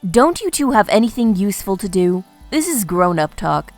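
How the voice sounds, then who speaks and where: A woman playing a bossy militant revolutionary in a comic dub, authoritative and brisk. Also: medium pitch, sing-song, no echo, soft background music. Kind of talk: comic-book dub acting.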